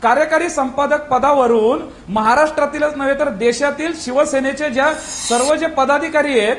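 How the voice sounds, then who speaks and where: A woman's voice: high voice, moderate pace, slight background noise. A woman arguing as a guest in a live political debate, assertive, insistent and accusatory. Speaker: debate guest, Maratha community activist.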